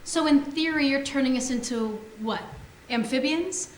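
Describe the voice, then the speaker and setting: A woman acts out a guarded, serious scientist with a fairly high voice. She delivers sci-fi stage dialogue in a dry, skeptical way. The sound is roomy, with faint noise. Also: normal speed, natural voice. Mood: wry, skeptical. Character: wry, guarded, serious female geneticist. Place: theatre stage.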